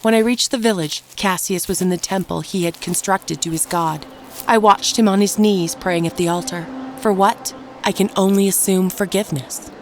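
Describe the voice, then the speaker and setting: A woman playing a wronged, bitter village wife, telling her own dark fantasy tale in solemn, deliberate scripted narration. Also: mid-range voice, a little hiss or hum, natural voice, rough recording. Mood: bitter, resolute. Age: middle-aged. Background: music.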